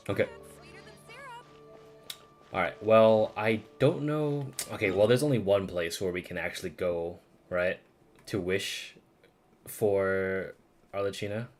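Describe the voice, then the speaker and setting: A man streaming a video game with casual, slangy, sweary reactions and muttered asides. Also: lowish voice. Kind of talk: casual reacting commentary over gameplay.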